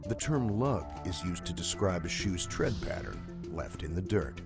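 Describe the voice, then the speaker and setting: A man reading scripted documentary narration in a measured, storytelling way. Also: low-pitched, sing-song, vocal fry. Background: music.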